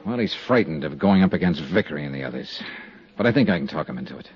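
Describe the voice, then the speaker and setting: An American actor playing a tough, steady insurance investigator in a 1950s radio drama, with clipped, hard-boiled delivery. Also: male voice, a bass voice, fast, slightly rough, full character voice. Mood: calm, probing. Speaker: lead actor and narrator. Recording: dull vintage 1950s radio recording.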